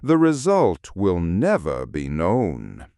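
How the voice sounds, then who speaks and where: A man's voice: low voice, huge pitch swings, slow pace, warm tone, no echo, clean studio sound, exaggerated voice. A man voicing a formidable old aunt with a cordial but imperious manner. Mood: challenging.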